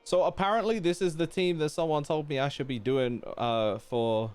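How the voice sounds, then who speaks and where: A man's voice: a little high, normal speed, darkish tone, smooth. A man chatting casually as he streams a game, thinking aloud and putting questions to his viewers. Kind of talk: casual live-stream chat while playing a game. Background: game music.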